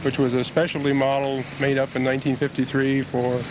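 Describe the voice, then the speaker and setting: A middle-aged man from Wisconsin being interviewed about his car outdoors, measured, precise and matter-of-fact. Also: mid-range voice, monotone. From Wisconsin, United States. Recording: noisy outdoor on-location recording.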